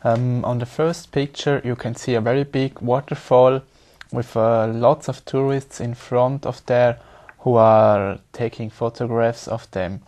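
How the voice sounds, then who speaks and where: A young man works through his answers slowly. He pauses and repeats words as he reaches for the right phrasing, speaking at a steady middle pitch. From Switzerland. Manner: hesitant, repeats words while searching for them. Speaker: exam candidate.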